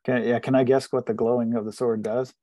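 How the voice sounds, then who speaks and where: A man chats casually as a player in a tabletop game. His voice is mid-pitched and recorded dry, with little room sound. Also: level tone, a little fast, mellow, no echo.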